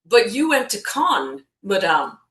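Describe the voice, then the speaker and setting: Brief: animated woman co-host, bright forceful tone, roomy echo. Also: medium pitch, slow. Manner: animated, teasing, exclaims. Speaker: podcast co-host. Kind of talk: casual interview chat.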